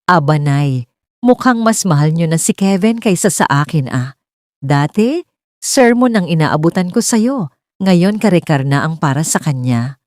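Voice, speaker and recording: A woman's voice: sits low, animated, a little slow, a little mellow, no echo, studio quality. A woman voicing a tender, sincere widowed mother in a romance story drama. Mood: teasing.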